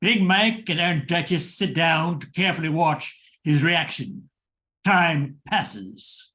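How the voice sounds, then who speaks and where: A man narrates a radio thriller as its host. His voice is bright, forceful and a little high. He reads the script slowly, with theatrical drama. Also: lively intonation.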